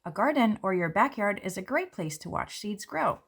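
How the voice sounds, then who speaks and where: A woman, a children's book author, reading her picture book aloud and walking through its pages in a gentle, explanatory way. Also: medium pitch, sing-song, normal speed, slightly rough. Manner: gentle, explanatory, with light fillers. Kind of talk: read-aloud and book walkthrough. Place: indoors at a table.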